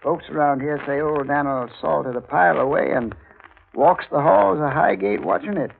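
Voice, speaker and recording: An actor playing a folksy, amused older small-town official in a 1940s radio drama, with a creaky voice and a hemming manner. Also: male voice, a little high. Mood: folksy, matter-of-fact. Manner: folksy, chuckling, unhurried hemming. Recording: muffled, faintly noisy 1940s radio recording.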